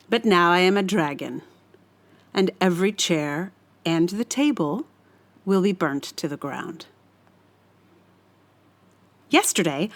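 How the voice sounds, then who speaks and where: A woman performs a scripted monologue at a markedly slow pace. Her delivery is theatrical and deliberate, with a menacing edge. The pitch sits in the middle range for a woman. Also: fairly bright tone. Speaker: writer reading own monologue.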